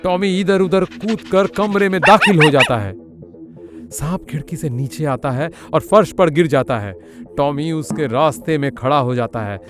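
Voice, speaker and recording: A man's voice: fairly high pitch, unhurried, over loud music. A man narrating a children's cartoon story in a scripted storytelling style.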